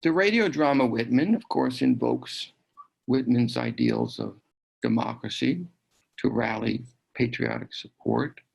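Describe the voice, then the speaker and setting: A man narrates a documentary in a reflective style. His mid-pitched voice is markedly creaky, and he sets out each thought deliberately, phrase by phrase. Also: low-quality recording. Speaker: film narrator and commentator.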